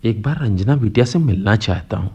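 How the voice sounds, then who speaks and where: Kindly postman, radio play, gentle coaxing manner, lively pitch swings, husky, faint music. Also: brisk, muffled recording. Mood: shocked sympathy. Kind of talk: Hindi radio play acting.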